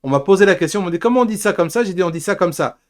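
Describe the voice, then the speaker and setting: A man's voice: high-pitched, animated, very fast. A man hosting a casual, jokey chat show about geek culture in an informal way. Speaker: podcast host.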